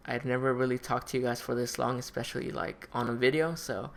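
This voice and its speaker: Young man, heavy vocal fry, worn-out voice, relaxed chatty gamer Q&A. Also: medium pitch, fairly quick. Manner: chatty, easygoing, self-deprecating, laughs often. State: tired, voice wearing out. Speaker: gaming YouTuber answering fan questions. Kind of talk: casual Q&A vlog.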